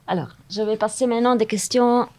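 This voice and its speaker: A woman interviewing a colleague for a corporate videocast, moving through her questions in a brisk, friendly way. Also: a little high, fairly even intonation, a little mellow.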